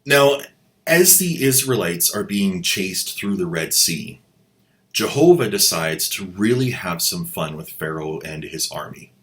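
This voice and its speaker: Sarcastic man with a low voice. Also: sing-song, a little slow, a bit bright. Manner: mocking, irreverent. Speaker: channel host and commentator. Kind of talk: sarcastic commentary.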